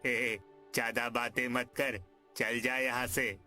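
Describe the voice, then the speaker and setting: A man's voice: medium pitch, flat delivery, slow, bright, forceful tone, faint music behind. An actor voicing a cruel, miserly uncle in a cartoon story, bossy and threatening as he scolds. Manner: scolding, barking orders.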